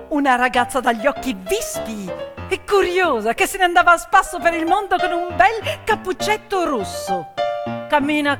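A woman storyteller narrating theatrically, with dramatic, deliberate phrasing. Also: sits high. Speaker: stage storyteller.

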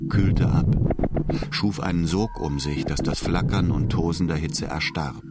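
A man's voice: low-pitched, a little slow. A man narrating a literary radio drama in a scripted, storytelling style. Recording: music bed under studio voice. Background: music.

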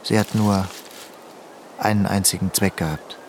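Man acting a low-voiced worldly journalist. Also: steady tone, a little slow, slight background noise, close to an everyday voice. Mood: reserved, confiding. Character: worldly male Greek journalist, courting, reserved. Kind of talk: radio drama acting.

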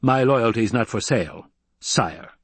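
A man's voice: mid-range voice, animated, normal speed, breathy edge, no room sound. A male narrator voicing a bearded, blunt and wary desert planetologist who answers curtly. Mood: guarded. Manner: curt, terse replies.

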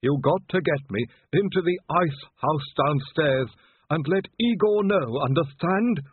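A man's voice: on the high side, lively intonation, unhurried, slightly rough, dry recording, narrow, tinny audio, pitched above the performer's own voice. A man voicing a dying patchwork manservant in an audiobook, groaning and urgent, with colloquial, practical insistence. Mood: groaning, insistent.

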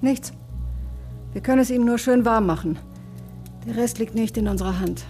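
Actress as calm, dark-voiced medical woman. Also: on the high side, normal speed, lightly acted. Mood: firm. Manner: calm, factual. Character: sober, matter-of-fact woman with medical training. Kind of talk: German crime radio drama acting. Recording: faint music behind.

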